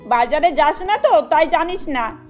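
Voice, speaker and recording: A woman's voice: high voice, lively intonation, measured pace, a little mellow, lo-fi sound, soft background music. A woman voicing adult women in a cartoon, speaking with emphasis. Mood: scolding. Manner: emphatic, scolding. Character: adult women: scolding employer and worried mother. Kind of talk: Bengali cartoon dubbing.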